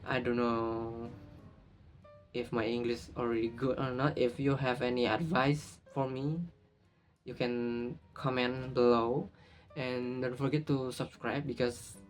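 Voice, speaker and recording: A man with an Indonesian accent and Indonesian as his first language, talking to camera in a casual vlog, halting and often correcting himself mid-sentence. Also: medium pitch, level tone, soft background music. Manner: halting, frequent restarts and self-corrections. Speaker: YouTuber.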